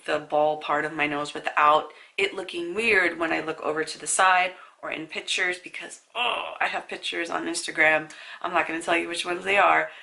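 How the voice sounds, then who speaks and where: A young woman talks the viewer through her makeup in a chatty, storytelling way, her nose audibly stuffy from a sinus infection. Her voice sits a little low for a woman, with a gravelly, fry-laden edge and a bright, forceful tone. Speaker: beauty vlogger. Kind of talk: casual makeup tutorial talk.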